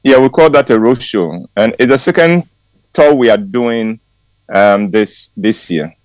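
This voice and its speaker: An older Ghanaian man in his sixties speaks on morning radio in a measured, unhurried way, with hesitant ums and soft chuckles. His voice sits at a middle pitch and sounds close and dry. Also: lively intonation, dry recording, rough recording. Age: 60s. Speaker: regular commentary guest. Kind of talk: warm radio chat and read commentary.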